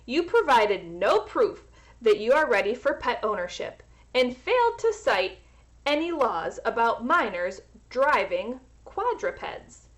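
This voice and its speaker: A woman voicing a firm, formal mother in a children's storybook, delivering measured, official-sounding refusals. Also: a little high, sing-song.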